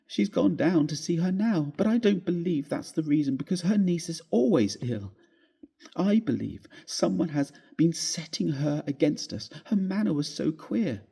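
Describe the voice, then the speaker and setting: A man's voice: on the high side, lively intonation, normal speed, creaky, warm tone, slightly rough, voice pushed up, heavily acted. A man voicing a tearful, fretful young newlywed wife in a storybook reading, with a plaintive manner. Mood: tearful, upset.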